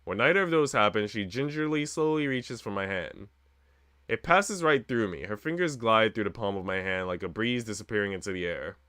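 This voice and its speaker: A man's voice: lowish voice, lively intonation, a little fast, bright, forceful tone, no room sound, studio quality. A man streaming a visual novel, reading its narration aloud expressively with casual commentary.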